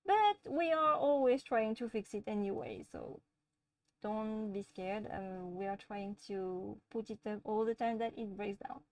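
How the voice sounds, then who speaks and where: A woman from France with a French accent, hosting a livestream and chatting casually with viewers in a gentle, easygoing way, often laughing. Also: mid-range voice, measured pace, no echo, recorded from a distance, rough recording. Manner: gentle, chatty, laughs often, talks softly to her pets. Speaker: livestream host. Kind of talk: casual live chat answering viewers.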